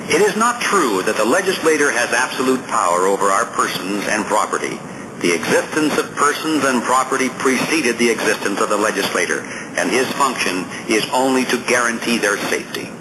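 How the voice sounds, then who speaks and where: A man reading nonfiction as an audiobook narrator, in a formal, measured and emphatic way with deliberate phrasing. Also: medium pitch, background noise.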